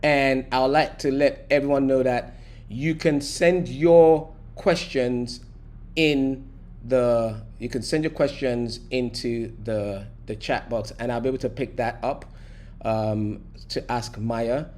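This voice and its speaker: A man's voice: medium pitch, steady tone, slow, a little mellow. A man hosting an online mentorship session over a video call, chatty, with joking asides and many fillers.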